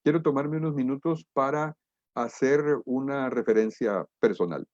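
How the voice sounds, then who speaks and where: A middle-aged Guatemalan man lectures in a deliberate, emphatic way, as if leading an online seminar. His pitch sits in the middle for a man. The sound is close and dry, with slightly muffled, lo-fi webinar audio. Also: a little mellow, dry recording. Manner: deliberate, emphatic, rhetorical questions. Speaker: international trade lecturer and expert.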